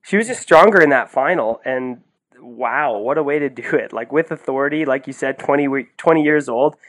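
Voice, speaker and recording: A man co-hosting a sports podcast, talking in an excitable, effusive, slangy way in casual commentary. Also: medium pitch, brisk, rough recording.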